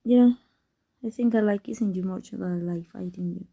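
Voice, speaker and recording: Woman acting a husky, dark-voiced tender villainess. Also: medium pitch. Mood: soft, affectionate. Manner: halting, breathy, emotional delivery. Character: tender, guarded villain woman confessing love to her rival. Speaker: voice actor. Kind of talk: intimate ASMR roleplay acting.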